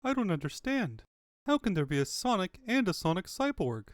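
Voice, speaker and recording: Earnest female cartoon animal princess, done by a man: worried sidekick, voice pushed high, very animated. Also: normal speed, no echo, studio quality. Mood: bewildered. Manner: high-pitched, alarmed. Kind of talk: comic-book fandub cartoon acting.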